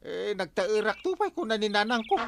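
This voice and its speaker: A man playing a bumbling, teasing villager in a comedy drama, stammering and excitable. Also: sits high, brisk, vocal fry, full character voice. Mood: bumbling, evasive. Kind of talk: broadcast comedy drama acting. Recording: loud background noise with birdsong.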